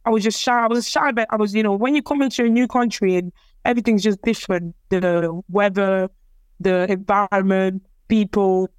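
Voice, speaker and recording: A young woman from Cameroon, French her first language, tells her story in a relaxed, hesitant way, circling back and repeating words. Her voice is smooth and clear, at a middle pitch. The sound is close and dry. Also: moderate pace, no room sound. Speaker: interviewee, athlete. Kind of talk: casual personal storytelling.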